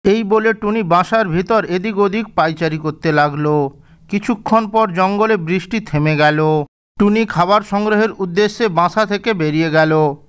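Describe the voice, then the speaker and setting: Clear high-voiced male storyteller. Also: normal speed. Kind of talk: scripted storybook narration.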